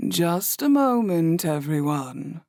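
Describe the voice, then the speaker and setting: A male audiobook narrator voicing a kind young woman innkeeper, speaking softly and gently. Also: fairly high pitch, sing-song, slow, no room sound, clean studio sound, pitched above the performer's own voice, heavily acted. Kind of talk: audiobook character voice.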